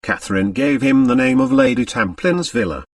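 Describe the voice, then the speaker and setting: A man's voice: medium pitch, very animated, moderate pace, no room sound, studio quality, distant mic. A man reading scripted literary narration as an audiobook narrator.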